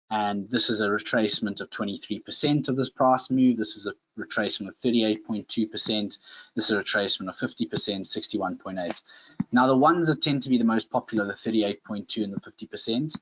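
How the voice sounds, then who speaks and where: Low-voiced South African man presenting. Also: fairly bright tone, low-quality recording. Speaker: webinar presenter, trading instructor. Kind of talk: informal live tutorial.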